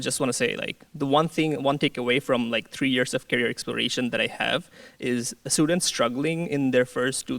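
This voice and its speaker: A man's voice: medium pitch, creaky, fairly bright tone, no room sound, far from the mic. A young man from Bangladesh, a student peer advisor, introducing himself on a panel with frequent fillers and restarts. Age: young adult. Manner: hesitant, many fillers and restarts.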